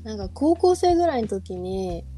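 A young woman co-hosting a casual talk show, speaking in a relaxed, understated way. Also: mid-range voice, moderate pace, faint music behind. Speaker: podcast co-host. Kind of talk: casual chat.

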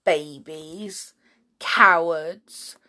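A woman's voice: sits low, steady tone, extremely slow, sharp tone, full character voice. A woman voicing a cocky older boy in a children's story, mocking and scornful with a sing-song jeer. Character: cocky, taunting older boy from a rival village.

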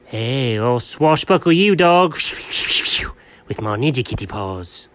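A boastful cartoon ninja cat talks like a pirate in a badly faked British accent. The delivery is theatrical and easily offended, swinging up and down in pitch in a dry, close recording. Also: male voice, animated, slow pace, no room sound. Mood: indignant, threatening. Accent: badly imitated British. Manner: theatrical, indignant. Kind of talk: comic cartoon dialogue.

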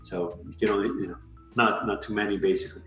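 A man from Malaysia in his thirties chats easily as an interview guest, his fairly low voice filled with "you know" and "um". The sound has a roomy echo, and soft music sits behind him. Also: fairly even intonation, fast talker. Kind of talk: casual interview.

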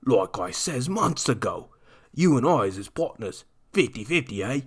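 A man doing a raspy wheedling crook. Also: mid-range voice, big pitch swings, moderate pace, voice pushed up. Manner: wheedling, broken grammar. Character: sly cringing male street crook.

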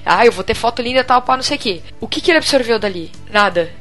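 A Brazilian woman co-hosting a podcast, chatting casually in a blunt, expressive and colloquial way. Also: a little high, quick pace, faint music behind. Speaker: podcast co-host. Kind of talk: casual group chat.

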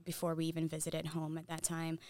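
A woman who grew up in Saudi Arabia with Tigrinya as her first language speaks as a guest on a podcast, earnest and articulate and quick to laugh. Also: deep voice, monotone, a little mellow, no room sound, studio quality. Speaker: podcast guest, brand owner. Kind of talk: casual conversational interview.

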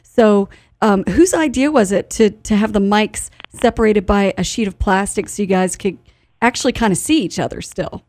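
A woman's voice: mid-range voice, normal speed, a little mellow, dry recording, clean studio sound. A woman hosting a radio show, chatting with guests in a casual interview and reacting as she goes. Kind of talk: live interview, casual chat.

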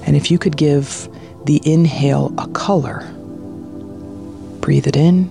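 A meditation guide with a slow, unhurried delivery and a gravelly creak in the voice. The tone is soothing and hushed, leading a guided meditation over faint music. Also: mid-range voice, fairly even intonation. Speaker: meditation guide, podcast host.

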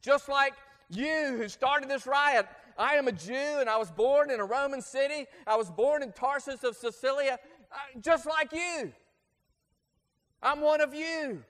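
An ancient apostle pleads with a hostile crowd in a high, impassioned voice, pressing the same point again and again, as a man acts him out. The sound rings with long reverb, as if from a large hall. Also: unhurried, a bit bright. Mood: pleading, earnest. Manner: impassioned, insistent repetition.

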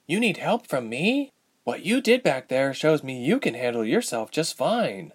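A man's voice: medium pitch, big pitch swings, a little slow, slightly rough, voice pushed up. A man voicing a young woman ice mage in an audiobook story, wary and dryly humorous, with a questioning manner. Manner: questioning, dry.

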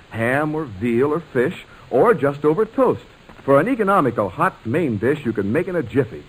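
An American man announcing in 1940s radio style, delivering a scripted sales pitch in a polished, persuasive manner. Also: slightly rough, low-quality recording.

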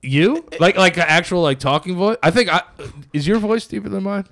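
A man's voice: sits high, expressive, brisk, vocal fry, fairly bright tone. A young man hosting a casual podcast chat, laid-back and teasing, laughing easily with his friends.

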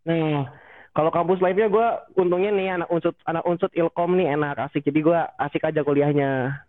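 A man's voice: a little high, a little fast. A young man from Bandung, a podcast guest talking casually and enthusiastically about his student years. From Bandung, Indonesia. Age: young adult. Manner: relaxed, enthusiastic, laughs at himself. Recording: thin phone-like sound.

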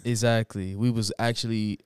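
A man's voice: fairly low pitch, level tone, normal speed, smooth, no echo, studio quality. A man in his twenties co-hosting a podcast in laid-back, slangy casual chat, laughing often. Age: 20s. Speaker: podcast co-host.

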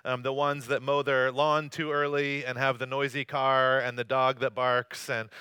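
Bright-voiced joking male pastor. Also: medium pitch, clean tone, dry recording. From North Carolina, USA. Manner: joking, self-deprecating, loose storytelling. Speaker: campus pastor. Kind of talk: conversational sermon with personal stories. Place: church service.

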